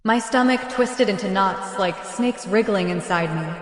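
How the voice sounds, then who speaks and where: A woman's voice: medium pitch, lightly acted. Echo-drenched frightened pregnant teenage girl, acted by a woman. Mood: anxious. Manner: halting, stammering, emotional. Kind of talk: dramatic first-person roleplay story acting and narration. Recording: echoey, long reverb, music underneath.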